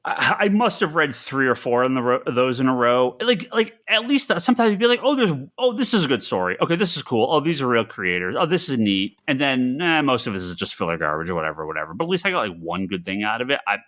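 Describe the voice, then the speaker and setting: A man with a bright, punchy voice at a middle pitch talks casually as a podcast co-host. He is exasperated and emphatic, hammering phrases over and over and cursing freely. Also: very animated, quick pace, hardly any pauses. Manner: exasperated, emphatic, repetitive ranting with profanity. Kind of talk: casual comics podcast banter.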